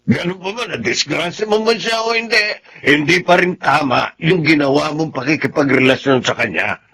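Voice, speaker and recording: A man's voice: on the high side, normal speed, pushed and bright, husky, full character voice. A man acting a stern, scolding older father in a radio drama. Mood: stern, reproving.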